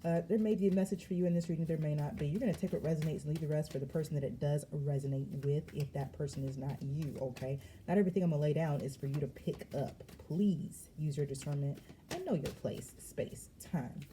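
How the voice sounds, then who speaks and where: Woman tarot reader, low dark voice, slangy and emphatic, faint background noise. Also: fast. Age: adult. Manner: lively, slangy and emphatic, with frequent laughs. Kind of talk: casual spiritual reading vlog.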